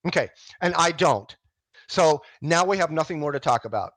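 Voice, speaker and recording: A man with a loud, booming voice debating on a video call. He is emphatic and repeats himself to close the argument. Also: expressive, normal speed, dry recording. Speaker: atheist debater. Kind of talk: heated casual debate.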